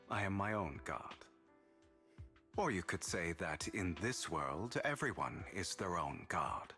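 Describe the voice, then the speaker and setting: A man's voice: low voice, pushed and bright, heavily acted. A man playing a proud young master thief and con artist in a video game, with theatrical, dramatic delivery over music.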